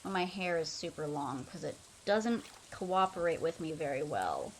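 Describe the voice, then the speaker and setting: A woman's voice: deep voice, very animated, moderate pace, a little hiss or hum. A young woman streaming a game, chatting casually in a loose, rambling way full of fillers. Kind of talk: casual chat while playing a game.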